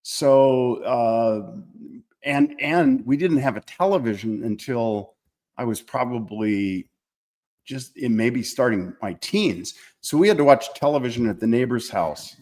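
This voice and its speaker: Reflective American man, mid-pitched voice. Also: lively intonation, unhurried, a little mellow. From small-town Minnesota, United States. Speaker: interview guest, author. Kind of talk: conversational personal recollection.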